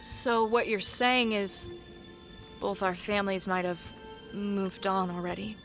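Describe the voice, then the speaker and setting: A woman's voice: mid-range voice, steady tone, unhurried, over music, natural voice. A woman playing a headstrong, loyal young adventurer in an audio drama, with a blunt, emotional way of talking. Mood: subdued, wondering. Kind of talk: audio drama character acting.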